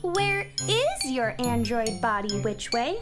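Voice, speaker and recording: A woman's voice: a little high, very animated, takes it slowly. A woman playing a plucky, eager young girl space adventurer in a children's radio play, earnest and exclamatory. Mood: curious. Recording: loud music behind voice.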